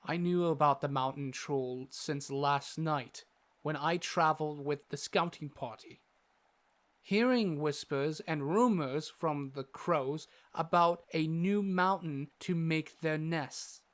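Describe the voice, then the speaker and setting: A man's voice: a little high, slow pace. A man playing an earnest, learned doctor and adventurer with a teasing streak in a fantasy audio drama, speaking articulately like a storyteller. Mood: wry, friendly.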